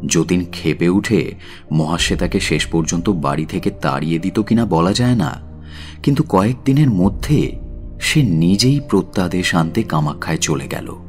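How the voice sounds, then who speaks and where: A man's voice: low voice, expressive, moderate pace, soft background music. A man narrating a literary audio story in a dramatic, scripted style.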